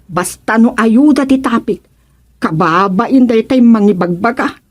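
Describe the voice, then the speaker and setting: An actress plays a small-village councilwoman in an Ilocano radio comedy. Her voice is high, and she speaks to her neighbors with earnest civic pride. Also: a little slow. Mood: scolding.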